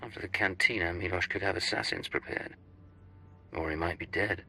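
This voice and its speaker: An actor playing a confident, dry-witted secret agent in a video game, terse, understated and suave. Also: male voice, low-pitched, steady tone, moderate pace, vocal fry, sharp tone, slight background noise, full character voice. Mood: dry, measured. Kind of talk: video game cutscene acting.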